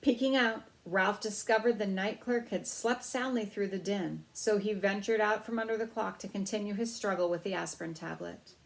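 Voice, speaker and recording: Creaky-voiced woman reading a children's story. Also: medium pitch, moderate pace, hardly any pauses, poor audio. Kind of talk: reading a children's novel aloud.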